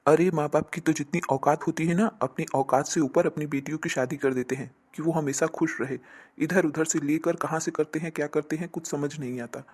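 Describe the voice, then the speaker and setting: A man playing a stern, reproachful husband who lectures emphatically in an animated family moral drama. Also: on the high side, brisk, breathy edge. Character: stern, reproachful husband defending his sister.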